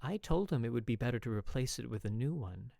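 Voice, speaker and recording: An expressive, measured narrator reading a first-person dramatic story. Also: mid-range voice, vocal fry, no room sound, clean studio sound. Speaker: podfic narrator.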